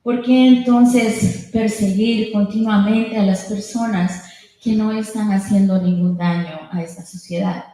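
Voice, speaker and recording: Mellow Spanish-accented woman in an echoey hall. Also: mid-range voice, steady tone, normal speed. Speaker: union member giving public comment. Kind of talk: public comment speech with pauses for an interpreter. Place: indoor public meeting hall. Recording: echoey meeting room.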